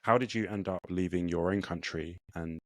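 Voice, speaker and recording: Deep-voiced podcast host, relaxed and affirming, dry close recording. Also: moderate pace, no room sound. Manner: soft affirming murmurs, relaxed questions. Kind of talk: casual interview questions.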